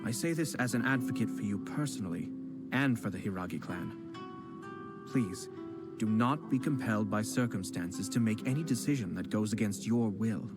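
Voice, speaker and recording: A man voicing a composed young male noble clan head who speaks in a measured, formal and polite way in a game. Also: fairly low pitch, expressive. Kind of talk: video game voice acting. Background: game music.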